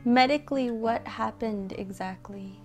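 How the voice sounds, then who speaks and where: Upbeat woman host with a higher voice, welcoming presenter tone, soft guitar behind. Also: unhurried. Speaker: channel host. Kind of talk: friendly video intro and interviewing.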